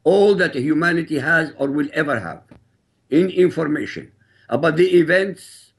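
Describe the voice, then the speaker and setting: A middle-aged man from Iraq whose first language is Arabic, a guest author speaking in a studio with a deliberate, emphatic, oratorical delivery. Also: fairly high pitch, animated, husky. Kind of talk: studio interview, delivering a prepared statement.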